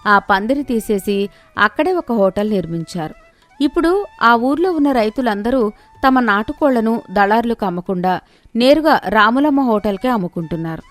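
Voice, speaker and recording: A woman's voice: a little high, animated, normal speed. A woman storyteller delivering scripted narration of a village moral tale. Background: music.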